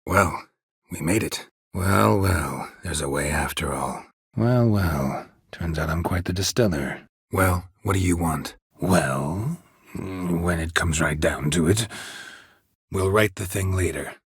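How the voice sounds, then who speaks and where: Weary video game monster hunter: American, very husky, low, terse and deadpan. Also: male voice, slow pace, no room sound, studio quality, exaggerated voice. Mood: dry, matter-of-fact. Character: gruff, weary, sardonic male monster hunter.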